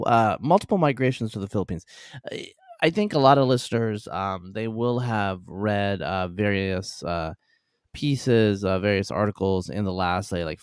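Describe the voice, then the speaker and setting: Male podcast host, low smooth voice, dry close sound, hesitant casual delivery. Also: no echo. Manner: hesitant, many fillers and restarts. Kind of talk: casual interview.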